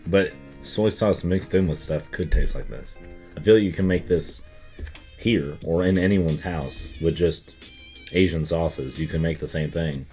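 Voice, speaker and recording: A man's voice: sits low, a little slow, dark tone. A young man with a light Southern US accent, co-hosting a casual chat and rambling with lots of fillers. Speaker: co-host. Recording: faint music underneath.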